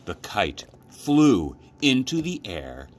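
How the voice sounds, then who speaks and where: A man reading a children's storybook aloud as a librarian, with expressive, measured narration. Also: fairly low pitch. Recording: clearly noisy background.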